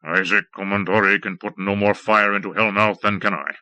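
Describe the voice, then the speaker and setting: A man's voice: on the low side, no room sound. A man doing a cold, unshakable wizard. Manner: measured, flat, lecturing. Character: calm, cold male wizard, unshakable and dry.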